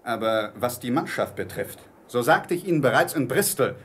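An actor playing a stern, blunt ship's captain, speaking formally and firmly in a German radio play. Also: male voice, mid-range voice, sing-song, a bit bright, slight background noise. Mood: grave, firm. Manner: formal, firm, measured.

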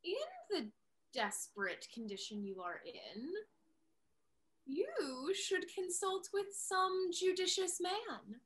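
A young woman playing a pointed, teasing confidante, a lively gentlewoman in a period stage comedy. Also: very high voice, takes it slowly, full character voice. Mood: teasing, advising. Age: young adult. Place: indoors, on a video call.

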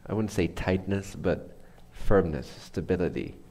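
Deep-voiced man guiding a meditation. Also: darkish tone, slight background noise. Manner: unhurried, searching for words. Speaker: meditation teacher. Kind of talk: guided meditation instruction.

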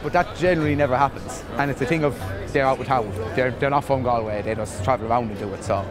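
A young Irish man talks fast and casually. He is out on a street with loud music and a very noisy crowd around him. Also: mid-range voice. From County Offaly, Ireland. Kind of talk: casual interview. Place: outdoor city street.

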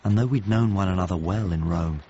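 Actor as deep, sombre storyteller. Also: male voice, warm tone, slight background noise, natural voice. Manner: measured, literary. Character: sombre painter narrating his memory of grim news. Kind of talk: scripted first-person radio drama narration. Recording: dull sound, busy crowd ambience.

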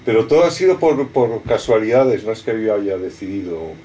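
A middle-aged man, a native French speaker, answers questions in a deep, unhurried voice, full of hesitations and restarts. The mic sits some way off, so the sound is distant, with steady room noise underneath. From raised in France. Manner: halting, many restarts and fillers. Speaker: artist. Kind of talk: interview answers. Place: cluttered studio with computer and speakers.

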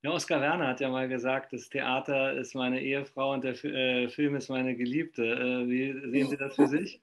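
A man's voice: mid-range voice, level tone, moderate pace. A middle-aged man interviewing a guest over a video call from home. He rambles through long questions full of fillers and restarts. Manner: rambling, hesitant, frequent fillers. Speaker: interviewer, magazine host. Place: home room, indoors. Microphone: video call webcam.

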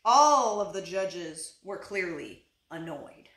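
A woman in her thirties reading picture books aloud to young children, expressively, with drawn-out words and little asides to her listeners. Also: lowish voice, lively intonation, fairly bright tone. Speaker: children's story reader and teacher. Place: small living room, indoors on a couch. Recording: roomy echo.

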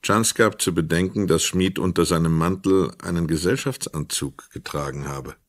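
A man narrating a radio drama from a script in a measured, literary storytelling manner. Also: sits low, dry recording, clean studio sound.

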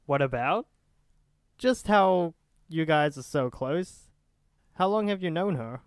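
Man playing a easygoing nosy young dude, teasing, smooth voice, dry close sound, asmr roleplay. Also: on the high side, animated, slow, no echo.

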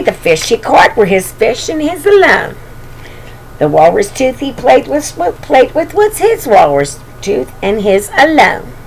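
Older female kids' storybook host: playful, singsong, swooping intonation with plenty of gravelly creak, homemade webcam sound. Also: fairly high pitch, a little hiss or hum, low-quality recording. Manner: singsong, playful, addressing little children. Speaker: children's storybook reader and show host. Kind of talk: read-aloud storytelling with chatty asides to young children. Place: bedroom at home.

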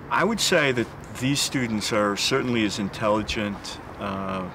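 An older man, a visiting film teacher, speaking in a measured, reflective way with hesitant fillers in an outdoor interview. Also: fairly low pitch, music in the background. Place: rooftop terrace, outdoors. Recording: outdoor city noise behind.

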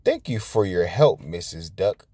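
A man voicing an excitable, opinionated little girl pig from a storybook, with drawn-out exclamations. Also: low-pitched, no echo, exaggerated voice. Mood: cheerful.

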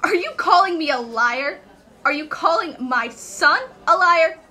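A woman's voice: high voice, lively intonation, measured pace, sharp tone, full character voice. A woman playing an irate, accusing mother in an amateur radio play. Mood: indignant. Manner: accusing, indignant. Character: irate, indignant mother threatening to sue.

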